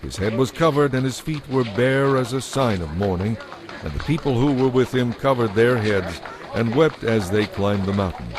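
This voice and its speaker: A man's voice: medium pitch, normal speed. A man narrating a dramatized Bible story from a script.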